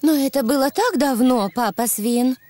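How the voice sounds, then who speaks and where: A woman's voice: high voice, moderate pace, mellow, close to an everyday voice. A woman playing a caring, cautioning mother pig in a children's cartoon dub. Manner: motherly, clear explaining. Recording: clear noise behind the voice.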